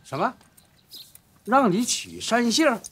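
High-voiced, scolding village elder. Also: male voice, expressive, unhurried, vocal fry, a bit bright, soft background music, exaggerated voice. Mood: incredulous. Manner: questioning, scolding. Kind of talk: TV drama acting.

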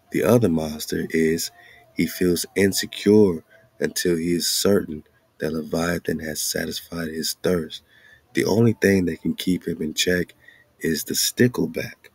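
A man's voice: low voice, a little mellow, breathy edge, no room sound. A man hosting a show, reading aloud and commenting casually with informal, slangy asides. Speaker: radio show host.

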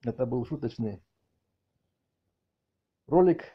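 Husky guy ranting jokingly into earphone mic, kinda lo-fi sound, keeps repeating himself. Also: mid-range voice, lively intonation, slow pace. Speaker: video channel host. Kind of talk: mock-outraged comic rant commentary on cartoons. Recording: dull lo-fi audio, faint music behind.

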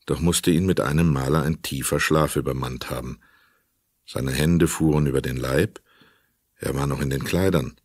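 A man's voice: rumbling low, normal speed, no room sound. A man reading scripted literary narration as the narrator of a crime radio play.

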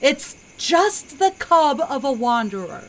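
A woman voicing a proud, stern lioness queen and mother in a regal, measured manner for a comic dub. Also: sits high, big pitch swings, full character voice. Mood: scolding. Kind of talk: comic dub character acting.

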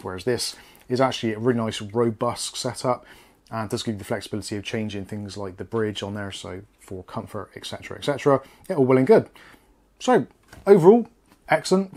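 A man in his forties with an English accent talks at an average pitch. He sounds conversational and matter-of-fact, like a host reviewing a product casually to camera in a small home studio. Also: a little mellow.

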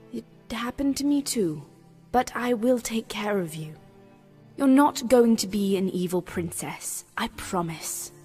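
A woman's voice: mid-range voice, darkish tone, natural voice. A woman voicing a tender, protective queen mother, soothing, in a cartoon comic dub. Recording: long hall-like reverb effect.

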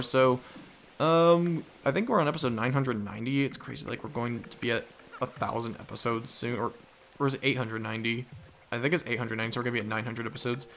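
Animated man doing video game commentary. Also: medium pitch, a little hiss or hum. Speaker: let's play host. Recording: game music behind voice. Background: video game music.

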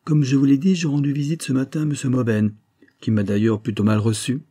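Man as a polite, deferential gentleman. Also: mid-range voice, steady tone, fairly quick, husky, no echo, studio quality, voice pushed up, lightly acted. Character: diplomatic, deferential man who knows his place.

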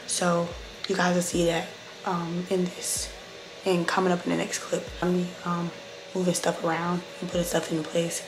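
Hoarse young woman vlogger, low and flat. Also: moderate pace. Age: 20s. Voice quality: hoarse, breaking into whisper. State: hoarse, sick, voice partly gone. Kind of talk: casual vlog chat to viewers. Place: indoors, mostly a bedroom at home. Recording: clearly noisy camera audio.

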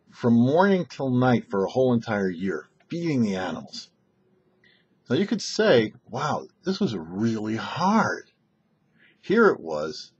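An older man, a rabbi hosting a short religious teaching, speaking in deliberate phrases with emphatic stresses. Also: mid-range voice, breathy edge, dull audio. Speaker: rabbi, show host. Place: small indoor set, seated before backdrop.

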